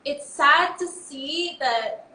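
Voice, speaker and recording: Woman, podcast guest, fairly high voice, halting, emphatic, fillers, echoey room. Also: sharp tone. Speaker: podcast guest sharing her story. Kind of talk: emotional personal testimony. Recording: roomy echo.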